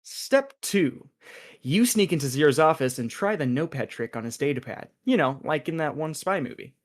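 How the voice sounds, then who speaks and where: A man's voice: a little high, animated, normal speed, close to an everyday voice. A man playing a smug, chatty, scheming agent in a sci-fi comedy table read, with a glib, dramatic manner. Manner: glib, dramatic, stammers when cornered.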